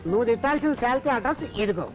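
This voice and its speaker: An actor playing a secretive, demanding client with a grudge in a film comedy. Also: male voice, extremely high pitch, animated, very fast. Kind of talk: Telugu film comedy acting. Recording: muffled film audio with music.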